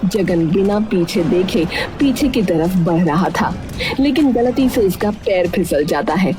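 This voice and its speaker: A woman's voice: fairly low pitch, animated, a little slow, noisy background. A woman reading scripted narration for a cartoon story.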